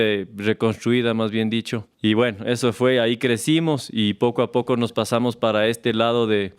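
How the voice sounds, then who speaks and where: A man from Ecuador with an Ecuadorian Spanish accent, a farmer talking as a guest in a relaxed, unhurried way, often restarting and repeating words. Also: lowish voice, smooth. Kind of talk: relaxed conversational storytelling. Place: farm, recorded on location.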